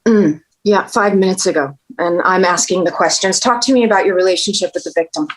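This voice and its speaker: An actress playing a cool, sarcastic, skeptical homicide detective at a table read of a TV crime drama. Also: female voice, on the low side, brisk, lightly acted, poor audio. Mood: dry, probing. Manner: dry, sarcastic questioning.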